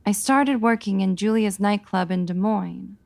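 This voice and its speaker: A woman's voice: medium pitch, measured pace, gravelly, darkish tone. An actress playing a worried, earnest showgirl in the melodramatic manner of 1940s radio noir.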